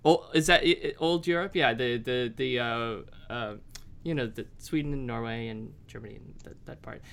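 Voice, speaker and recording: An American man hosting a podcast, chatting casually in a rambling, halting way with many restarts. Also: medium pitch, vocal fry, fairly bright tone, slight background noise. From United States. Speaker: podcast host.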